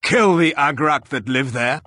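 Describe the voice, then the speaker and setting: An actor playing a blunt, haughty fantasy warrior in a video game, speaking in stiff, formal, deliberate diction. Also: male voice, a little high, bright, forceful tone, no room sound, clean studio sound. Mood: dry, curt. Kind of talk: video game character acting.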